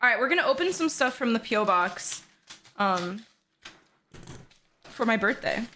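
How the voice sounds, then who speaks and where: A woman in her twenties chatting excitedly and bubbly on a livestream from her bedroom. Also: fairly high pitch, moderate pace, slight background noise. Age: 20s. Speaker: streamer. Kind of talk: casual livestream chat.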